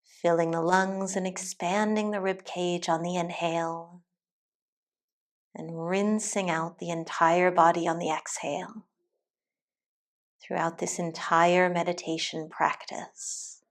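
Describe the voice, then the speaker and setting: A woman teacher leading a guided meditation in a calm, soothing, deliberate way with long pauses. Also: on the low side. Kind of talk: guided meditation, soft instructional narration.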